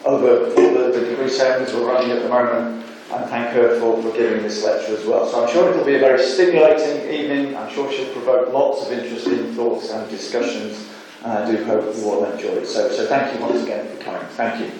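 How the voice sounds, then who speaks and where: A man's voice: medium pitch, steady tone, brisk, darkish tone, a little hiss or hum. A man giving brief welcome remarks at a university event, hesitant and often repeating words. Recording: echoey theatre, distant mic.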